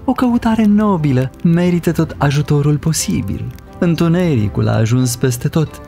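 A wise cartoon wizard storyteller, with a gravelly, mellow voice. He is solemn and measured, yet his intonation moves freely. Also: a little high, lively intonation, soft background music. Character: wise dreamy bearded male wizard storyteller. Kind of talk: animated fairy tale dub.

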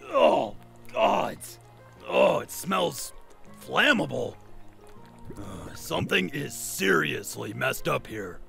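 An actor playing a brash, irreverent armoured warrior in a fantasy audio drama, blustery and colloquial. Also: male voice, on the high side, big pitch swings, slow pace, vocal fry, pushed and bright, soft background music, full character voice. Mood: disgusted, exasperated.

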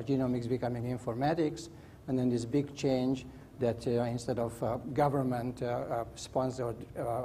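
Casting: man in his sixties, mid-pitched, halting and emphatic panelist, clear background noise. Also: dark tone. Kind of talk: conversational panel discussion. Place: indoor panel stage in a function room. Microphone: lapel. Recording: noisy live event room.

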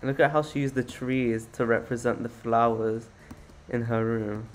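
A man's voice: mid-range voice, level tone, normal speed. A man co-hosting a casual game tour, talking in a teasing, playfully boastful way. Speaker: co-host of a Roblox build tour.

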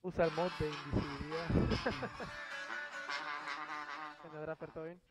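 A man's voice: fairly high pitch, steady tone, slow, over loud music, low-quality recording. A man in his thirties chatting casually with his squad during a game. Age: 30s. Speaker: player in a squad. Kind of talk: casual gaming chat.

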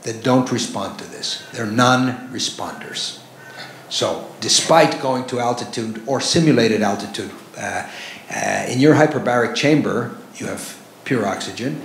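A man in the audience with a loud voice, asking a long, rambling question full of fillers and restarts. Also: medium pitch. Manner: rambling, self-deprecating, many fillers. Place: large lecture hall with audience. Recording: echoey hall, faint noise.

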